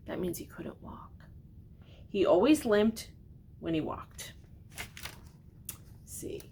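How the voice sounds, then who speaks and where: An older woman reading a children's storybook aloud expressively, pausing for gentle, simply worded explanations. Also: gravelly. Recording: noticeable background noise with low rumble.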